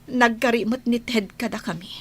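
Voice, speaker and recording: An actress playing a defiant, bitter woman in a radio drama, with a husky voice and a Filipino accent. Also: a little high, moderate pace. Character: defiant woman confessing to an affair, bitter and unapologetic.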